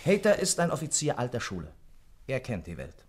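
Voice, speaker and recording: An actor playing a caring, persuasive gentleman doctor in a German radio play, solicitous in manner. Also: mid-range voice, huge pitch swings, a little fast, breathy edge. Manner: solicitous, coaxing, chuckling. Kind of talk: radio play acting and first-person narration.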